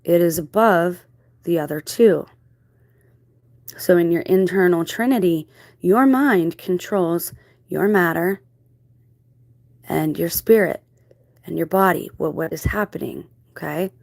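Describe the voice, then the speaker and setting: Low-voiced woman explaining in a dry room. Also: unhurried, creaky, pauses often, a little mellow, dry recording. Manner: rhetorical questions, checking in with listener. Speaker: video host. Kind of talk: explanatory monologue on spiritual theories.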